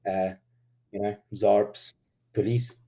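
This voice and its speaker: A South African man in his thirties whose first language is Afrikaans talks easily as a podcast guest. He is relaxed and laughs often, with plenty of ums as he explains himself. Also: fairly low pitch, slow pace. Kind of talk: casual interview chat.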